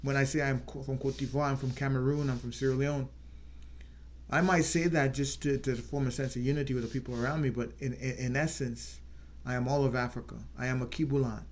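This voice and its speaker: A man hosting his own channel, giving an earnest unscripted political talk and slowing down to stress key words. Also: medium pitch, steady tone, lo-fi sound. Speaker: channel host.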